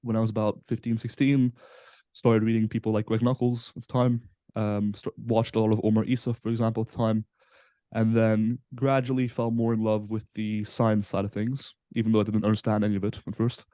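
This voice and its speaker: A man in his twenties from Belgium, a guest on a casual podcast interview, explaining in a relaxed way with frequent fillers. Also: on the low side, fairly quick, no echo. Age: 20s. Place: Southampton, UK.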